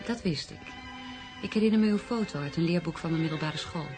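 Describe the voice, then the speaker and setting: An actress playing a polite, sensitive young female teacher in a radio drama, speaking in an earnest, soft way. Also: sits low, fairly quick, natural voice. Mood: polite, curious. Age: young adult. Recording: radio play with music underneath.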